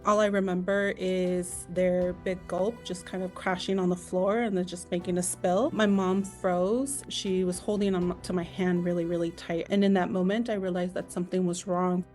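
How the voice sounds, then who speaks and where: A young woman from Mexico, raised in the US, quietly recalling a childhood memory. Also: fairly low pitch, little pitch movement, moderate pace, hardly any pauses, soft background music. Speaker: interviewee. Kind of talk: personal story interview.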